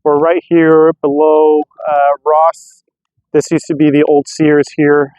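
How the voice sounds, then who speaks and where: A man's voice: a little high, monotone, takes it slowly, a little mellow. A man talking casually as a vlogger during a field test of radio gear, in slightly muffled audio.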